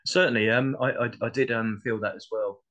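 A man's voice: medium pitch, fairly even intonation, fairly quick, a bit bright. A man from Essex with a southern English accent, a podcast guest chatting casually and hesitantly, often repeating the starts of his words. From Essex, England. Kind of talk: casual discussion.

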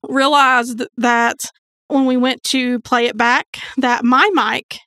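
A woman's voice: on the high side, level tone, slow, bright, forceful tone, no echo, studio quality. A woman co-hosting a podcast, chatting casually and informally with wry banter. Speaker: podcast co-host. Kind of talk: casual true crime podcast chat.

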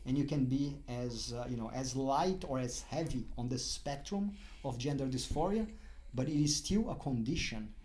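Middle-aged Brazilian-accented man, mid-pitched voice, interview guest who stops and restarts often. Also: a little mellow. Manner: halting, many restarts and fillers. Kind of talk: casual interview talk.

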